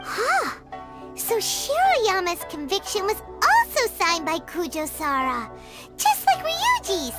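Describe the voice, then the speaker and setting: A woman voicing a small, curious, excitable childlike fairy companion in a video game, squeaky and given to wondering aloud. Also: takes it slowly, loud music behind the voice, heavily acted. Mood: curious, puzzled.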